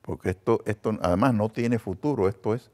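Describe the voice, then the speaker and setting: Deep-voiced man. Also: a little mellow. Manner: earnest, with halting restarts. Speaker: guest, television producer. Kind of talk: casual conversation.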